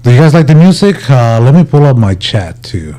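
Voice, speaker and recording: Laid-back male livestreamer with a mellow voice. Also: mid-range voice, big pitch swings, brisk. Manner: informal, laid-back, addresses viewers as buddies. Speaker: livestream host. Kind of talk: casual livestream chat with viewers.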